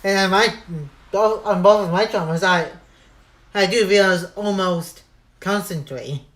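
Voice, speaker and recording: A man's voice: sits high, a little slow, a bit bright. A young man hosting a casual live stream with a friend, answering in short replies. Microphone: voice call.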